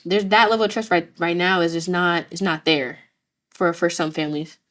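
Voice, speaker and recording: A teenage girl speaks in a youth discussion with a somewhat low voice. She talks animatedly, often repeating words as she works out her thoughts. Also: fairly even intonation, normal speed, a bit bright. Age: teens. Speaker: youth participant. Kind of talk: casual discussion.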